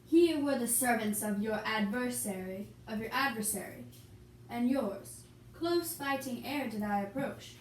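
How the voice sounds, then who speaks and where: Brief: earnest young lord puppet read by a woman, amateur verse recital, high voice, off-mic in echoey room. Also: lively intonation, measured pace. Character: earnest young nobleman Benvolio, plush puppet. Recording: echoey room, distant mic, background hiss.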